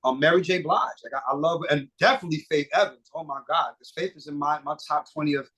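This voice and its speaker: A middle-aged man with a somewhat high-pitched voice talks as an interview guest. He rambles animatedly, swears freely and restarts his sentences. The talk is loose and casual. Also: quick pace, a bit bright, slightly rough. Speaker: guest singer and producer.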